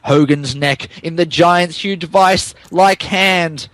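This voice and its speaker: A man with a fairly high voice narrates fan fiction in a mock-dramatic style. He takes his time, giving straight-faced emphasis to garbled words. The sound is dry and close. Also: slow, fairly bright tone, no echo. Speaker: podcast narrator.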